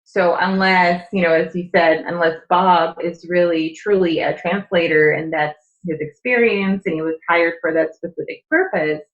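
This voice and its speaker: A woman with a fairly low voice speaks as a podcast guest, earnestly explaining her point and filling pauses with "you know" and "um." Her first language is Czech. The audio sounds roomy and a little muffled. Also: fairly even intonation. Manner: earnest, explanatory, with frequent fillers. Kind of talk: conversational interview.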